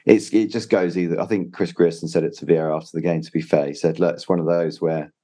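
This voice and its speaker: A man co-hosting a football podcast, explaining his points in a measured way. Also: low-pitched, steady tone, warm tone. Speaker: podcast co-host. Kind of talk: casual football analysis.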